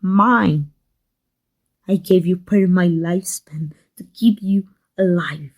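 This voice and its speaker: A woman with a Mexican accent playing a proud, secretly devoted villainess in an intimate ASMR roleplay, halting and emotional. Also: fairly low pitch, darkish tone. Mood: defensive, desperate. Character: proud, flustered, secretly devoted villainess with life-transfer power.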